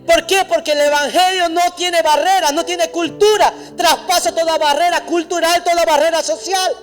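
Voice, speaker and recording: A pastor preaching a sermon in a church, emphatic and repetitive, speaking straight to his congregation. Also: extremely high pitch. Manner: addresses the congregation directly.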